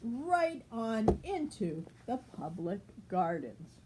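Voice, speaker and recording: An older woman, a grandmother, reading a children's picture book aloud with expressive, deliberate phrasing. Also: on the high side, noisy background.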